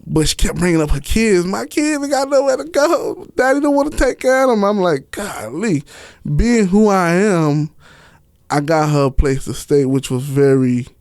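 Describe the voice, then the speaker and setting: A man's voice: high voice, lively intonation, normal speed, a little mellow, no room sound, clean studio sound. A man telling personal stories casually as an interview guest, slangy and unfiltered, swearing freely. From Miami, Florida. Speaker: interview guest, author and stage play director.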